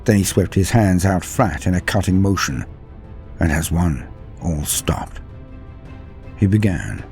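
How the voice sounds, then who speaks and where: A man narrating a scripted story in a solemn, theatrical manner, with deliberate dramatic pauses. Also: low-pitched, lively intonation, a little mellow. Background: faint music.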